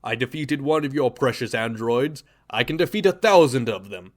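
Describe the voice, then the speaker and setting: A brave, defiant superhero with a power ring, played by a man. His lines come out loud and earnest, full of heroic resolve, at a middle pitch. Audio drama. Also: expressive, moderate pace, close to an everyday voice.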